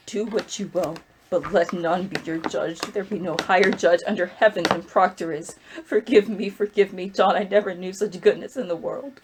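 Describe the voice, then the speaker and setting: A woman acting the quiet, earnest wife of a condemned man in a period drama. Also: fairly low pitch, steady tone, normal speed, hardly any pauses, a little hiss or hum, close to an everyday voice. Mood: pleading.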